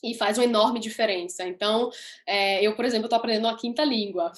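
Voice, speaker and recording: A woman's voice: a little high, a little fast. A young woman from Italy, Italian her first language, an upbeat singer answering interview questions in chatty, laughing style.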